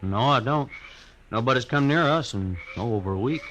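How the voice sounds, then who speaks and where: A man's voice: mid-range voice, animated, normal speed, fairly bright tone, lightly acted, rough recording. A hearty frontier buffalo hunter played by a man. He is blunt and folksy, in an old radio drama with faint noise behind. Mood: plain, guarded. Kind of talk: 1950s radio western acting.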